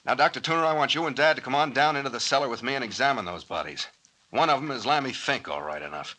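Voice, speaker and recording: An actor playing a commanding, wry, tough captain who gives clipped orders and wisecracks in old-time radio style. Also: male voice, mid-range voice, expressive, fast, bright, forceful tone. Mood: brisk, commanding. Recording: noisy old radio recording with clicks.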